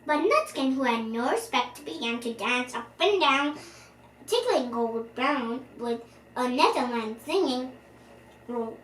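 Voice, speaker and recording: A child reading a storybook aloud for young listeners as host, in an expressive, playful manner. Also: high-pitched, measured pace. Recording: roomy, distant mic, faint noise.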